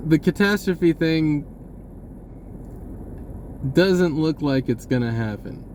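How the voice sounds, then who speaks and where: A man talking casually in a rambling monologue, halting and pausing mid-sentence as he goes. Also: fairly high pitch, expressive, darkish tone, a little hiss or hum. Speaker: vlogger.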